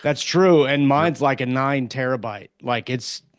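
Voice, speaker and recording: A man's voice: medium pitch, unhurried, dry recording, studio quality. A man chatting casually as a podcast co-host. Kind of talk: casual chat.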